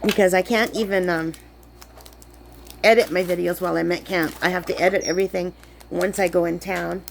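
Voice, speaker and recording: A sick woman, chatting in a rambling way while crafting, her voice lower than usual. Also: steady tone, a little fast, creaky, a little hiss or hum. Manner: chatty, rambling, many fillers. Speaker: crafting vlogger. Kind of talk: casual chat while crafting. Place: camp cabin indoors.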